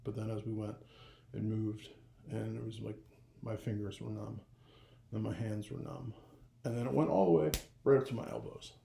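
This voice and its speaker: A young man telling a personal story in a casual vlog, rambling and animated, with a gravelly voice. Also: on the low side, moderate pace. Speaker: vlogger.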